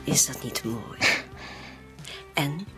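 A woman's voice: low voice, lively intonation, very slow, soft background music, exaggerated voice. An actress playing a cunning, composed female decoy in a radio play, talking coaxingly. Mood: coaxing, sociable. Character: friendly, cunning hired female decoy, reluctant accomplice. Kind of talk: radio drama acting.

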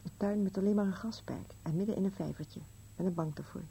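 A female actor plays an elderly wife in a radio drama, wistful and plaintive. Her voice is lowish and gravelly, with quick, insistent phrases. There is faint noise on the old recording. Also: warm tone, lightly acted, low-quality recording. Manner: plaintive, insistent questioning, sometimes muttering. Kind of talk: Dutch-language radio play acting.

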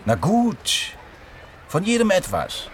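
A man's voice: sits high, wildly swooping pitch, takes it slowly, exaggerated voice. An actor playing a flirtatious, wry disc jockey in his thirties in a radio play, bantering as he goes. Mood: wry, accommodating. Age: 30s. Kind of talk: German radio play acting. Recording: noisy outdoor sound scene.

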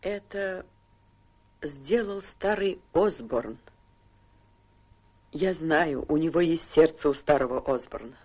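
A woman's voice: deep voice, takes it slowly, long pauses, a little mellow, husky, exaggerated voice. An actress playing a weary, plaintive elderly mother from a poor household in a radio drama. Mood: agitated. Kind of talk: Russian radio drama stage acting.

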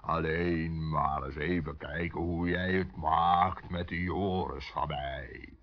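A gruff, bossy giant for a children's radio play. He speaks extremely slowly and ponderously in a rumbling, very low voice. The recording is dull and muffled. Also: steady tone, heavily acted. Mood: gruff amusement. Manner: slow, ponderous, commanding. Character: huge slow-spoken male giant, gruff, bossy but reassuring.

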